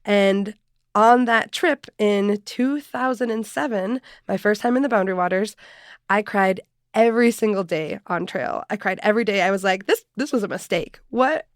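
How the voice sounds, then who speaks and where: A woman outdoor guide in her late thirties or forties, born in Ecuador and raised in Minnesota, telling her story with lively expression in a podcast interview. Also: medium pitch, normal speed, dry recording, studio quality. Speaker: outdoor adventure guide, guest.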